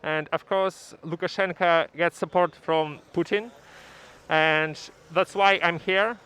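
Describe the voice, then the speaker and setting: A man's voice: fairly high pitch, fairly even intonation, takes it slowly, pushed and bright, low-quality recording. A man from Russia with a Russian accent, giving his opinion in an unscripted street interview. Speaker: rally participant giving an opinion. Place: outdoors at a city rally in Canada.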